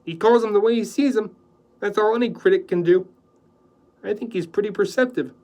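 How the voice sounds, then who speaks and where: A man's voice: high voice, brisk, darkish tone, pitched above the performer's own voice, natural voice. A man voicing a young houseboy, an art student who talks breezily and with mock indignation. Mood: breezy.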